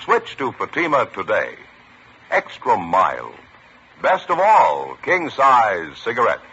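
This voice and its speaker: A man's voice: medium pitch, wildly swooping pitch, takes it slowly, very noisy. An American man reading a 1950s radio advertisement with an emphatic salesman delivery. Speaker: radio commercial announcer. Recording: muffled vintage radio recording.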